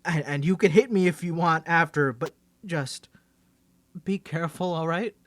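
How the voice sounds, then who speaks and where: A man's voice: fairly high pitch, no echo, natural voice. A man playing a nervous, bantering young man with a secret superhero identity, stammering through an ASMR roleplay to the listener. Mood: earnest, worried. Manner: stammering, flustered, halting.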